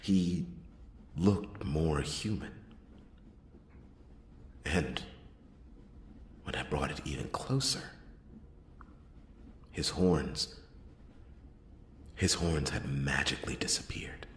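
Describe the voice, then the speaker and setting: An extremely deep-voiced, brooding noir detective, played by a man in an ASMR roleplay. He tells his story slowly and breathily, often sinking into a whisper, with halting dramatic pauses. The voice sits in a roomy echo. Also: a little hiss or hum, full character voice. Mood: hushed wonder. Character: brooding male noir detective recounting a murder case. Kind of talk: noir ASMR roleplay, first-person narration.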